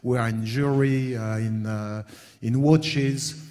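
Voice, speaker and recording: A middle-aged man from France speaks to a conference audience, pausing often on fillers as he works through his points. His voice sits at an ordinary pitch and rings with the long reverb of a big hall. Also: steady tone, a little mellow. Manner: hesitant, many "uh" fillers. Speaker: panel speaker, company founder. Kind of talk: conference panel talk.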